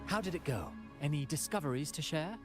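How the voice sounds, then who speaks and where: An actor playing a courteous, measured man of authority in a video game, speaking formally. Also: a little high, animated, over music, lightly acted. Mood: intrigued, concerned. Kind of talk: video game dialogue acting.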